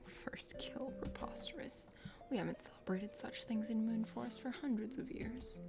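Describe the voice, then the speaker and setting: A woman's voice: fairly high pitch, moderate pace, vocal fry. Girl doing a snooty chatty dragon sister, game character, formal whispery asmr talk, music playing behind. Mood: disapproving. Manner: formal, prattling, condescending. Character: prim, chatty, condescending dragon sister of a forest kingdom.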